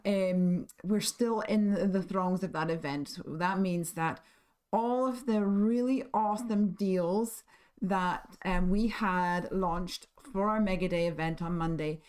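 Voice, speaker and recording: A woman hosting a live crafting stream, husky and hoarse from losing her voice, chatting in a friendly way with many ums and small laughs. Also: fairly low pitch, takes it slowly, darkish tone. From United Kingdom. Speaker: craft stream host and shop owner.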